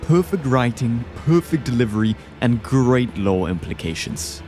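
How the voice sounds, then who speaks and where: A man's voice: medium pitch, very animated, a little slow, darkish tone. A man giving enthusiastic, scripted video essay commentary, ranking game bosses. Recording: game music behind the voice. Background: music.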